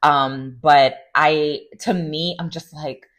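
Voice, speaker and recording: A woman giving a casual, opinionated recap of a reality TV show, chatty and animated, with sarcastic asides. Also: low voice, slow. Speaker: recap vlogger.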